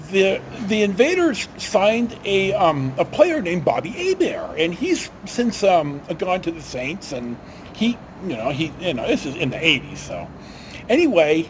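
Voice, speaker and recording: A man, middle-aged or older, telling casual personal stories in a rambling, halting way, often breaking off and circling back. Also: sits high, a little hiss or hum. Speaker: storyteller host.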